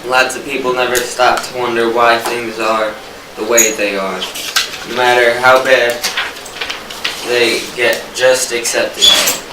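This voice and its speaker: A man's voice: medium pitch, level tone, a little slow. Male student reader in echoey room. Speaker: student performer. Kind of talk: scripted readers theater, read aloud. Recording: noisy echoey room, distant mic.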